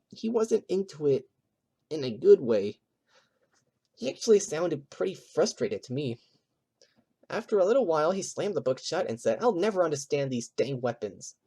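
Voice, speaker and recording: Man playing a husky, jumpy, nervous animal witness. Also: on the high side, fairly bright tone, heavily acted. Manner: stammering, hesitant, jumpy. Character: nervous, easily flustered furry male animal witness. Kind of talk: audio drama acting.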